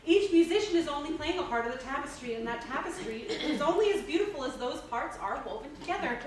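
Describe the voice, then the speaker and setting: A woman's voice: sits high, expressive, fast. A woman reading a polite, knowledgeable, dry-witted character in a stage play, hesitant as she explains. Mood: explanatory. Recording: distant, echoey, noisy stage recording.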